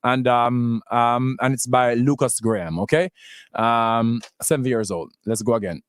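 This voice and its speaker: A man's voice: medium pitch, a little slow, smooth. A man hosting a radio show, talking casually between songs with many restarts and fillers.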